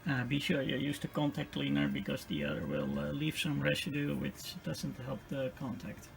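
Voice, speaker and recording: A man who is not a native English speaker gives casual hands-on repair commentary as host, halting and thinking aloud in a gravelly voice. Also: medium pitch, sing-song. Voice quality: gravelly, creaky. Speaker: host of a radio restoration channel. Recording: clearly noisy home-made recording.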